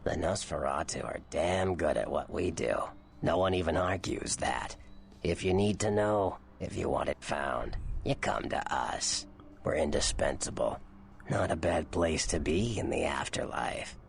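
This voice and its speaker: An actor voicing a cynical, streetwise vampire informant in a video game, gravelly, wry and blunt. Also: male voice, extremely low pitch, a little slow, slight background noise, exaggerated voice. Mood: proud. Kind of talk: video game character dialogue.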